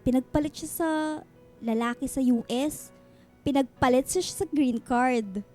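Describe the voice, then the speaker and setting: A nosy Filipina friend in a radio drama, high-voiced and soft-edged in tone. She gushes, teases and pushes romance in slangy exclamations, with music playing underneath. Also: measured pace. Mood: eager, persuasive. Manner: gushing, slangy, exclamatory, bantering. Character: teasing, nosy, matchmaking woman friend in her thirties. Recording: music bed under the dialogue.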